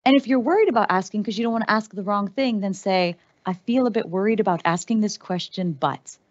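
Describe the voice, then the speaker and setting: A woman in her thirties, from the Netherlands, speaks to a conference audience from a large stage. Her delivery is animated and conversational, full of fillers and asides. She sits at a mid pitch, and the headset recording sounds a little dull. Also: brisk. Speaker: keynote speaker. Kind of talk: conference talk.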